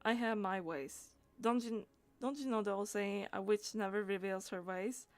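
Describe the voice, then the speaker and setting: Woman game streamer, halting delivery. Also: medium pitch, dry recording. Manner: halting reading with stumbles and repeats. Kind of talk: reading game dialogue aloud and chatting.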